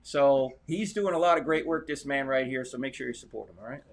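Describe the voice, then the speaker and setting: A man's voice: medium pitch, a little fast. A man, a guest on a livestream, talking emphatically and urging listeners on in casual chat. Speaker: guest apologist.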